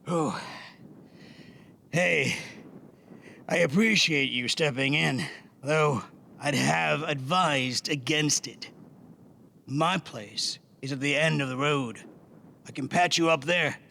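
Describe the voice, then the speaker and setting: Man acting a very gravelly, slow old soldier. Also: a little high, sharp tone, a little hiss or hum, full character voice. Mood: weary, kindly. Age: 60s. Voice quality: gravelly, creaky. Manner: slow, weary, dry. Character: grizzled scarred old ex-soldier, gruff but helpful. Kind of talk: audio drama acting.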